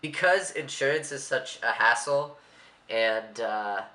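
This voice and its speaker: Stuffy-nosed young man, bright voice. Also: medium pitch, lively intonation, takes it slowly, reverberant. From Maryland, USA. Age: young adult. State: stuffy, congested. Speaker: vlogger. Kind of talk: casual vlog monologue.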